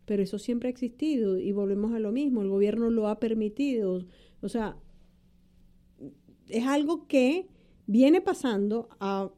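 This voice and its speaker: A middle-aged woman co-hosting a podcast, giving blunt opinions in casual conversation. Her voice is fairly high yet very dark and warm, and she jumps in to finish her co-host's sentences. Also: measured pace. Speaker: immigration lawyer and podcast co-host.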